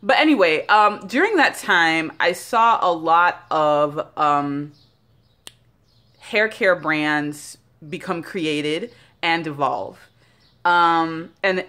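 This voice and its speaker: Low-voiced chatty woman vlogger. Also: fairly bright tone. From Jamaica. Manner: chatty and rambling, with many fillers. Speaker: founder of a beauty brand. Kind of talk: casual vlog talk. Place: bathroom by a bright window. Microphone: phone held at arm's length.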